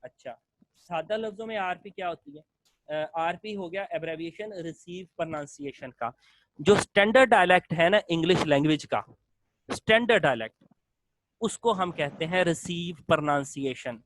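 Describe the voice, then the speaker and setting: A man's voice: a little high, normal speed, fairly bright tone, a little hiss or hum, rough recording. A male lecturer explains a topic in a classroom style, repeating phrases in long lists and mixing in English terms.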